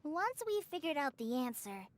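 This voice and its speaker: A woman voicing a tiny, childlike, excitable fairy companion in an anime video game, with squeaky, exclamatory delivery. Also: normal speed. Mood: earnest. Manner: exclamatory, theatrical. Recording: game dialogue over background music.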